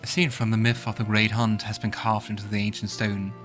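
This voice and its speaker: A man's voice: lowish voice, flat delivery, brisk, over music. A man hosting a let's play, commenting on the game and reading its text aloud.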